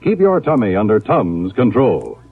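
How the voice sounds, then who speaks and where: A man in the role of a 1950s radio announcer, selling with emphatic polish. His pitch swoops widely over a slightly husky tone, and the recording is thin and narrow. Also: big pitch swings, normal speed, no room sound. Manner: emphatic, polished salesman delivery. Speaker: radio announcer reading a sponsor ad.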